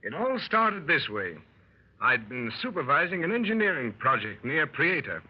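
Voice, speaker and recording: An actor playing a plucky gentleman adventurer, telling his exploits wryly in 1930s radio style. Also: a little high, normal speed, husky. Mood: wry storytelling. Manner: wry, animated storyteller. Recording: muffled 1930s radio broadcast.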